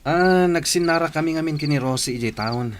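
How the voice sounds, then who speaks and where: A man's voice: fairly high pitch, fairly quick, a little hiss or hum, close to an everyday voice. A man playing a callous, careless young womanizer in a radio drama, with an Ilocano accent. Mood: dismissive.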